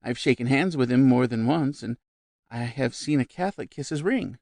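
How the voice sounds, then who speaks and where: A man voicing a vivacious, shrill middle-aged society woman who gushes about spirits, raised high and loud above his own voice. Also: moderate pace, creaky, dry recording, clean studio sound, lightly acted. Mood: lecturing.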